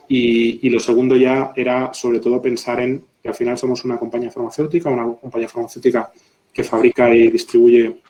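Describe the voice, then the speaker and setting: A man, a pharmaceutical executive on a webinar panel, explaining in a deliberate way, often ending points with tag questions. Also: medium pitch, a little mellow, rough recording.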